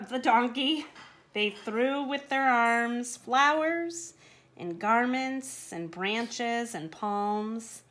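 A woman reads a rhyming children's story aloud. Her voice sits fairly high and is bright and projected. She goes slowly, leaning on key words with dramatic emphasis. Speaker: storybook reader. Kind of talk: expressive read-aloud of rhyming children's picture book.

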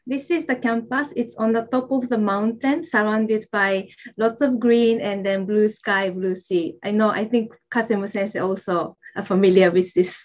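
A young woman with a Japanese accent, an admissions officer giving a friendly, halting presentation with fillers over a video call. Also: mid-range voice, steady tone. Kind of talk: online information session presentation. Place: indoors, virtual campus backdrop.

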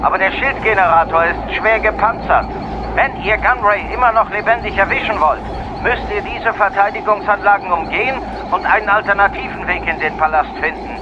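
Stern field commander, high voice, emphatic, muffled radio transmission. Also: male voice, fairly quick, crowd noise. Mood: urgent, formal. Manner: formal, emphatic military reporting. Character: stern male military commander reporting by transmission. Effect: radio transmission filter. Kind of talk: audio drama acting. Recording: dull, noisy battlefield transmission.

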